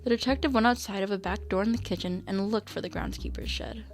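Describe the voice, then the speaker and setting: A woman narrates a radio drama from a script. Her mid-pitched voice has noticeable vocal fry, with faint music underneath. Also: fast.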